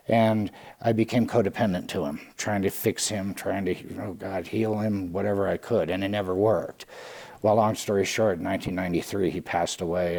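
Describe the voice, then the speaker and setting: A man in his late forties from California, a Salvation Army lieutenant telling his own story candidly, halting and restarting often. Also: sits low, darkish tone. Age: late 40s. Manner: halting, with frequent restarts and fillers.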